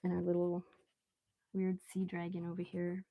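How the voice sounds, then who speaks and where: A woman with a mellow, gravelly fry in her relaxed voice. She hosts a casual art live stream, thinking aloud in an unhurried, rambling way as she draws. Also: lowish voice. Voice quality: relaxed, soft-edged voice. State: relaxed, mildly sedated after anesthetic. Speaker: live stream artist host. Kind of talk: casual live stream chat while drawing.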